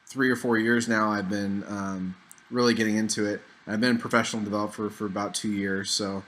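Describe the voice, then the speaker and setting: A man's voice: fairly low pitch, brisk. A man hosting his developer channel and chatting casually on a video call, loosely and a bit rambling. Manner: upbeat intro, then relaxed and rambling. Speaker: YouTube host and developer.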